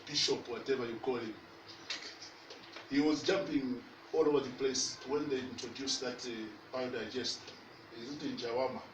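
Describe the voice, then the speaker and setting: An older man with a Zambian accent recollecting informally in a halting, rambling way. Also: medium pitch. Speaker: environmentalist. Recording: noisy, echoey, distant mic.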